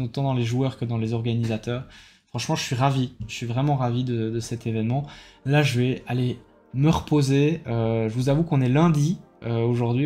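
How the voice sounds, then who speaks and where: A Belgian man talks casually to his viewers in a mellow, warm voice, pitched in the middle for a man. He sounds tired and rambles, with many hesitations and restarts, while he heaps enthusiastic thanks on people. Faint music plays behind him. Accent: Belgian French. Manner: rambling, full of fillers and restarts, enthusiastic praise. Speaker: card game YouTuber. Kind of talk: casual spoken debrief to viewers.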